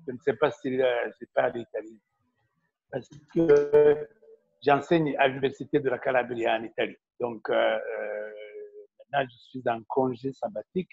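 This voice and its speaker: A man, a university professor, speaking as a guest on an online call in a measured way, with many fillers. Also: mid-range voice, vocal fry, darkish tone, slightly rough, no echo. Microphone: video call. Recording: dry, muffled call audio.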